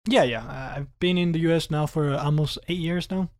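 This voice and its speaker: Man in his twenties, grew up in Cuba, slightly high voice, animated casual chat. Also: brisk. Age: 20s. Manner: animated, laughs often, repeats words when starting sentences. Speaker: podcast guest. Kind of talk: casual conversation.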